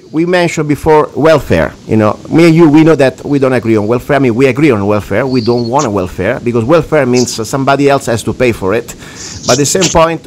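A man's voice: mid-range voice, a little hiss or hum. A man hosting a talk radio show, asking frank, conversational questions. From Italy. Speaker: radio host.

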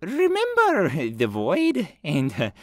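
A man's voice: sits high, huge pitch swings, slow pace, dry recording, studio quality, full character voice. A man voicing a short, good-natured plumber hero in a fan cartoon, with a chummy, reassuring manner. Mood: puzzled, friendly. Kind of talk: fan cartoon comedy voice acting.

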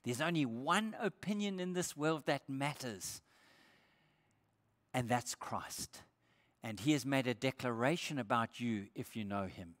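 Older pastor preaching in a reverberant church. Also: male voice, animated, unhurried. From Australia. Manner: emphatic, rising on scripture quotes and dropping low for quiet points. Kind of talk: sermon. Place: church stage with brick wall. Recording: church hall with long reverb.

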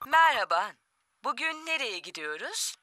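A woman's voice: high voice, very animated, unhurried, bright, forceful tone, dry recording, studio quality, full character voice. A woman voicing a polite talking camper van navigation machine in a children's cartoon.

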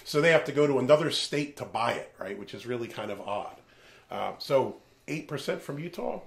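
A man in his forties with a gravelly edge, hosting a beer review from a small room lined with shelves. He talks in loose, animated asides and cracks jokes as he goes. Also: medium pitch, brisk. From American Northeast. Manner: animated, jokey, rambling asides. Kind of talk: casual chat.

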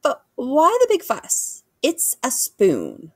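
A woman's voice: sits high, huge pitch swings, slow, husky, no echo, studio quality, voice pushed up, full character voice. A woman voicing a blunt, curious American boy in a storybook, plain-spoken and skeptical. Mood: unimpressed. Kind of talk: children's storybook character voice.

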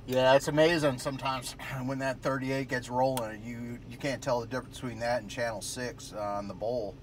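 A man's voice: mid-range voice, little pitch movement, normal speed. An American man chatting casually over the radio in a rambling, easygoing way, recorded in a noisy parked car. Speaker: amateur radio operator. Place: inside a parked car at roadside with windows down.

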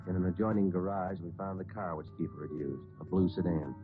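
An actor playing a matter-of-fact police detective who narrates and talks in a clipped, deadpan way in an old radio procedural. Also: male voice, low voice, quick pace, close to an everyday voice. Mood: businesslike. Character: brisk, businesslike police detective sergeant and narrator. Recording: tinny old radio broadcast, music behind.

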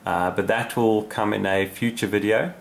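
Low-voiced man giving a casual radio demo. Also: fairly even intonation, moderate pace. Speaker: radio hobbyist presenter. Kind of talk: casual unscripted demonstration. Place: indoor radio desk, off camera. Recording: clear background noise, radio hiss.

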